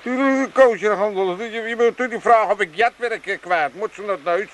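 A man's voice: high voice, a little fast, natural voice. An older actor playing a grumbling, sarcastic, scheming old father in a comic radio play. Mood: blustering, evasive. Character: grumpy, scheming old Amsterdam father from a retirement home.

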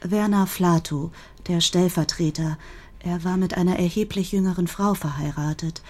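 A woman playing a grieving, protective older sister who gives an earnest, confiding statement in a German radio crime drama, with a husky voice. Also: fairly low pitch, fairly even intonation, moderate pace, darkish tone, natural voice. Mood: earnest, recounting. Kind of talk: German radio play acting, recounting testimony.

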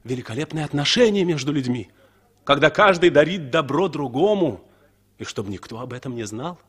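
A husky, fairly high-voiced dreamy male narrator in an old Soviet radio play. He declaims his thoughts poetically, with sweeping, animated intonation. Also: normal speed. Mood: dreamy, impassioned. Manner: declamatory, reflective. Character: dreamy, impassioned male traveller thinking aloud as narrator.

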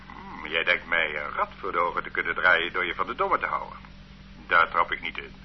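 A man's voice: sits low, very animated, brisk, slight background noise. An actor playing a stern male state police interrogator in a radio play, cold and accusing. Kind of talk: radio drama acting. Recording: dull lo-fi old radio recording.

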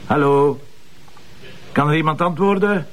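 A man with a Flemish accent playing a gruff, impatient, sarcastic police commissioner in a TV crime drama. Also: on the high side, a little slow, close to an everyday voice. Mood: exasperated, bossy. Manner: bossy, exasperated. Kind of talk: naturalistic TV police drama acting.

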